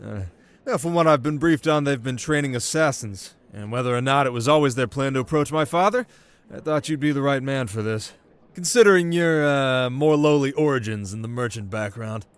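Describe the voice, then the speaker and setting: A naive, self-important young prince, put on by a man in an audio roleplay. The voice is lifted noticeably high, with formal, pompous, regal declarations. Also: fairly quick, smooth, close to an everyday voice. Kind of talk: ASMR audio roleplay acting.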